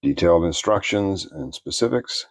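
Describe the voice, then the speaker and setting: A man giving an unscripted product review in a plainspoken, measured, explanatory way. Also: sits low, expressive, dry recording.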